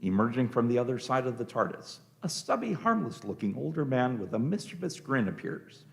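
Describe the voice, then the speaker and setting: Man, radio play narrator, scripted delivery, medium pitch, gravelly creak, lively intonation. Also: a little fast.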